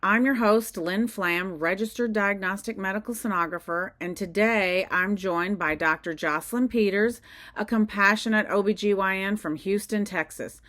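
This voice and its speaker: A woman's voice: medium pitch, moderate pace, dry recording. Polished woman podcast host, dry mic. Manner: polished, even delivery. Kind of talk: scripted intro and interview questions. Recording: dry close mic with faint music. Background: faint music.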